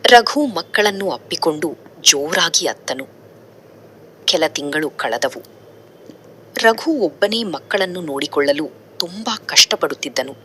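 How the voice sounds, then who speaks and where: A woman's voice: deep voice, animated, normal speed, long pauses, bright, forceful tone, a little hiss or hum. A woman narrating a scripted moral story.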